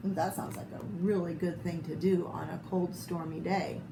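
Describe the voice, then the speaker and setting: A woman's voice: fairly low pitch, little pitch movement, moderate pace. An American woman reading picture books aloud to children, expressively and unhurriedly, with little asides to her listeners. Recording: echoey room with clear background noise.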